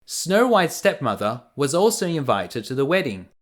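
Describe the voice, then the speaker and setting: A man reading a fairy tale aloud to children as a bedtime storyteller, deliberate and expressive. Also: a little high.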